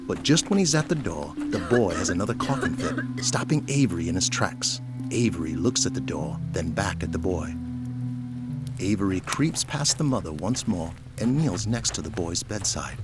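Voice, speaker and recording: Casting: male narrator, lowish voice, animated scripted storytelling, music clearly behind.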